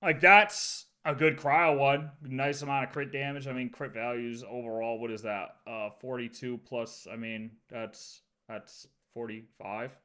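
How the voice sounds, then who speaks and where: Bright-voiced man chatting on a livestream. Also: mid-range voice, steady tone, unhurried, hardly any pauses, clear, resonant tone. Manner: thinks aloud in slangy, offhand asides. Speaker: livestreamer playing a video game. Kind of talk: casual livestream chat.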